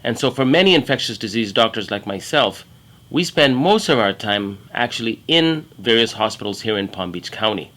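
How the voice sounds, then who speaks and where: A man from Kenya, a middle-aged infectious disease doctor, giving a measured, earnest talk about his work. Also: lowish voice, lively intonation, a bit bright. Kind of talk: prepared career talk to camera.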